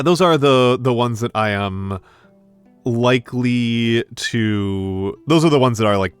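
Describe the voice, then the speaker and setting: A middle-aged American man chatting casually with stream viewers, pausing often with fillers and restarts. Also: fairly low pitch, fairly bright tone, no echo. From United States. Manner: hesitant, many fillers and restarts. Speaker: VTuber streamer.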